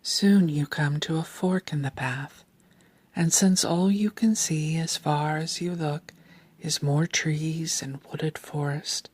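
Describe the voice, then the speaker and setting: Low, breathy woman guiding slow meditation. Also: dry recording. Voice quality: soft, breathy, near-whispered. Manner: calm, deliberate, soothing delivery. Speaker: guided meditation narrator. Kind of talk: slow scripted guided meditation narration.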